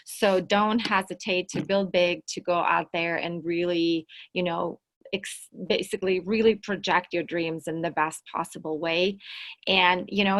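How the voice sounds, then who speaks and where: A woman from Russia with a Russian accent, giving an energetic online workshop talk and often repeating words for emphasis. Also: fairly low pitch. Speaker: workshop speaker. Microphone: video call.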